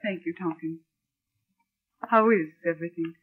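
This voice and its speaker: Earnest radio-mystery heroine, old recording. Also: female voice, on the low side, normal speed, husky, narrow, tinny audio. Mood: polite, subdued. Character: earnest, determined female secretary, haunted by suspicion. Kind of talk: old-time radio mystery acting with narration.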